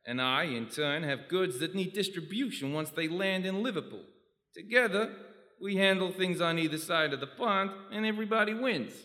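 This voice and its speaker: A man's voice: high-pitched, sharp tone. A man playing a brash, menacing gangster businessman, cocky and slangy, in a comic radio play. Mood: measured, selling. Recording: echoey hall, live stage recording.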